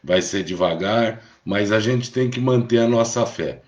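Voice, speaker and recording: A man's voice: on the low side, a little slow. A Brazilian man hosting a live stream in a casual, reassuring way, often checking in with short tag questions. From Brazil, born in Rio de Janeiro. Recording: dull, lo-fi live stream audio.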